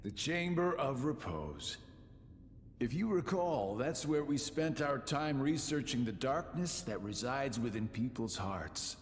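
A man's voice: mid-range voice, sing-song, measured pace, big-room sound, a little hiss or hum. A man voicing a sly, mocking middle-aged schemer in a video game, with a teasing, theatrical drawl. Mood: sly, casual. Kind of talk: video game cutscene acting.

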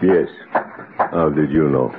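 An actor playing a sly, grave native servant man with formal, deliberate phrasing in an old radio drama. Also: extremely low pitch, steady tone. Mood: grave, restrained. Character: sly native male servant, grave and courteous, quietly menacing. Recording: noisy, narrowband old radio recording.

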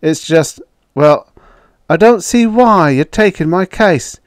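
Storybook role: puzzled punk behind bars, voiced by a man, pitched high, informal and hesitant. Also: lively intonation, clean tone, no echo, studio quality. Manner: halting, informal. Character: unworried, puzzled male prisoner, a small-time punk.

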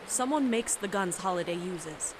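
A woman's voice: fairly low pitch, animated, normal speed, background noise, natural voice. A woman playing a cold, menacing interrogator in a radio noir drama, speaking curtly. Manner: curt, threatening.

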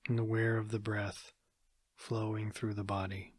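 An American man guides a meditation in a calm, measured manner with long pauses. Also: fairly low pitch, no room sound. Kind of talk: guided body scan meditation.

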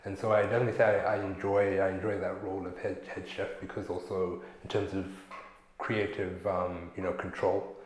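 A young man from Zimbabwe talks through his kitchen career in a relaxed, slangy interview. His voice sits low and deep. A lapel mic picks him up in a small studio that echoes. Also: brisk. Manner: relaxed, slangy, acts out coworkers' lines. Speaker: chef, interview guest. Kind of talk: casual interview talk. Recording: echoey room.

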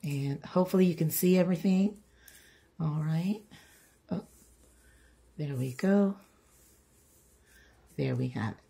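A middle-aged woman with a groggy, hoarse voice from being sick, chatting casually as she hosts her home budgeting vlog. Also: low voice, slow, long pauses, mellow. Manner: chatty, repeats "all right" and "okay". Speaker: budgeting channel host. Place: home, table-top filming.

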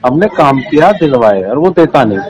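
A man with a western Uttar Pradesh accent and a slightly high voice, pressing his points in an informal argument. He questions and repeats himself insistently. It is a phone call recording with music behind it. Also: big pitch swings, normal speed, a little mellow. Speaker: police sub-inspector on a phone call.

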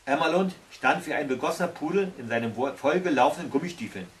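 A man's voice: on the high side, lively intonation, fast talker. A man in his sixties reading a fairy tale aloud at home, as a storyteller. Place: small tiled-stove corner indoors at home. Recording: recorded from a distance.

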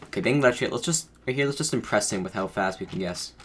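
A man's voice: on the low side, lively intonation, fast, a little hiss or hum. A man commentating his own game casually, rambling and correcting himself as he thinks aloud, his voice a bit off from feeling under the weather. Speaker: gaming streamer.

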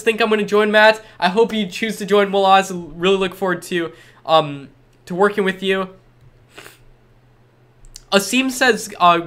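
Young guy on a live q&a, kinda high voice, stuffy nose from a cold, peppy sales talk. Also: a bit bright. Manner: upbeat, repetitive salesy reassurances. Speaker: course seller answering live chat. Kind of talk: live-stream Q&A, reading chat questions aloud. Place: small room with lit backdrop.

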